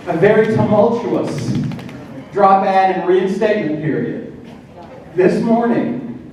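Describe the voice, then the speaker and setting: A man in his fifties making an announcement at a staff meeting, halting and restarting his sentences. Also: sits high, darkish tone, a little hiss or hum. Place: large meeting room. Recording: echoey hall from far off.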